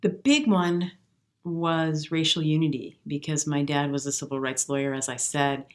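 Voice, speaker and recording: A woman's voice: low voice, fairly even intonation, moderate pace. Woman in her fifties, Midwest-raised jazz singer, guest in an interview, talks in a reflective, earnest way. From Milwaukee, US Midwest. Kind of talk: conversational interview.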